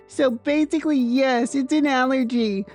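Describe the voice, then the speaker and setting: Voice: high voice, steady tone, normal speed, a little mellow, faint music behind, voice pushed up, natural voice. A storyteller voicing an entitled, attention-seeking woman diner in a whiny, defensive, showy way.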